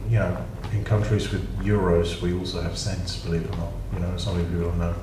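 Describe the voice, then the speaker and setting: Deep-voiced British man rambling over gameplay. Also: flat delivery, fairly quick. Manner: dry, rambling, self-mocking asides. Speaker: gaming channel host. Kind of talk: casual gameplay commentary. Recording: echoey room, distant mic, noisy.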